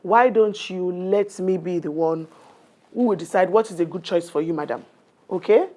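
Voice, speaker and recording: A woman's voice: fairly low pitch, moderate pace, mellow, lightly acted. A woman with a Nigerian accent acting as a condescending, bossy family planning nurse in a radio drama, talking down with exclamations and little tags. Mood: bossy, dismissive. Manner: patronizing, talks down with exclamations and tags. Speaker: nurse in an educational radio play.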